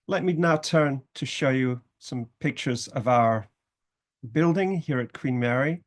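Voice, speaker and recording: A middle-aged British man, a law professor, presenting a university programme in measured phrases with some fillers. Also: mid-range voice. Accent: British English. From Britain, family from Scotland, raised in England.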